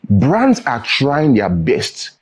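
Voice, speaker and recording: A man's voice: mid-range voice, huge pitch swings, drawn-out words, breathy edge. A young adult man with a Nigerian accent, a guest in a studio interview, explaining earnestly and often asking "right?" or "you know". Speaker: tech training founder, guest.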